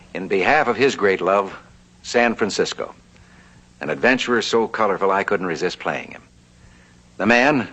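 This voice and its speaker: A man's voice: lowish voice, a bit bright, breathy edge. A man narrating a vintage TV drama and playing a flamboyant, genial 1860s banker. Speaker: host and actor. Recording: muffled old TV soundtrack with hiss.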